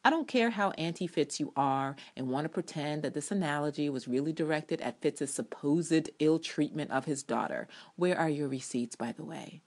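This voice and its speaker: Female reader, low pitch, delivering sardonic TV commentary as a dramatic, emphatic essay reading. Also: normal speed, hardly any pauses, a little mellow. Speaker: TV drama blogger reading own review aloud.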